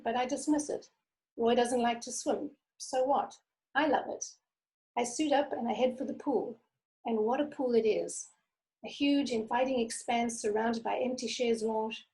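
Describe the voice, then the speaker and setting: A woman author with a soft voice, talking candidly over a video call and reading from her memoir. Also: on the high side, poor audio. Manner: candid, conversational. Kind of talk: interview answers and memoir reading.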